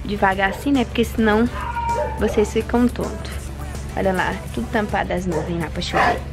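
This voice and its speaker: Young woman, casual vlog voice, handheld phone, clear music bed behind. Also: mid-range voice, moderate pace. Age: young adult. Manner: chatty, addresses viewers directly. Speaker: home vlogger. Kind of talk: casual home tour vlog. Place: house and yard, in and out of doors. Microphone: phone held at arm's length. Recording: music playing underneath.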